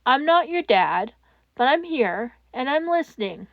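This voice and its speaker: A woman voicing a kind, earnest sixteen-year-old boy who answers a crisis hotline in a story, gentle and reassuring. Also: high-pitched, sing-song, measured pace, dry recording, highs cut off, heavily acted.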